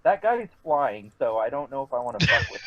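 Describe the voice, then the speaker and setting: A man's voice: medium pitch, big pitch swings, fast talker, fairly bright tone, dry recording. A man playing a tabletop game over voice chat, talking casually and swearing freely. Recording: dull lo-fi online voice chat.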